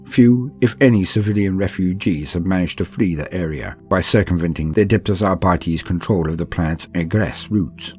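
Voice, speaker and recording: A man reading scripted narration like an audiobook, in a calm, steady, measured manner. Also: sits low. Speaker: narrator reading a campaign book. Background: faint background music.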